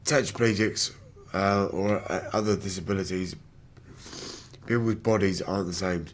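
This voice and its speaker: A British man in his twenties with a rough, damaged voice, speaking candidly as a wheelchair rugby player in an interview. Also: low voice, steady tone, moderate pace. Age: 20s. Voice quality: damaged, rough voice from throat surgery. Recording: background noise on location.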